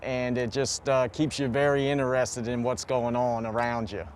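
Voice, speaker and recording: A man's voice: medium pitch, flat delivery, normal speed. An older man, an air traffic controller, talking casually in an interview. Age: 50s or older.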